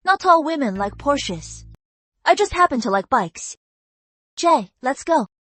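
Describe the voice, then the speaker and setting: A young actress playing a calm young woman with a teasing manner. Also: sits high, unhurried, no echo, exaggerated voice.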